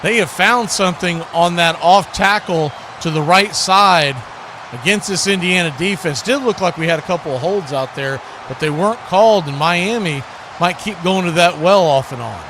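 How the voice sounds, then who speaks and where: An American man giving live football play-by-play in short clipped phrases that build with excitement. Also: sits high, normal speed, slight background noise. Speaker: play-by-play announcer.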